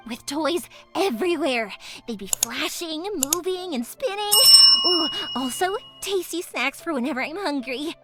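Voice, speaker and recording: A woman voicing a cheerful, chatty young woman in a video game, with a girlish, expressive, earnest delivery. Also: sits high. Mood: dreamy, wistful. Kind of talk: video game character voice lines. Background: background music.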